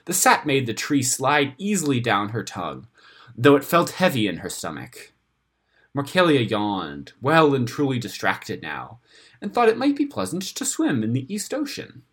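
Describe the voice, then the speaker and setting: Husky man narrating fantasy with lively pitch. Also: moderate pace. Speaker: story narrator reading own fantasy tale. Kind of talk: scripted narration of a fantasy story.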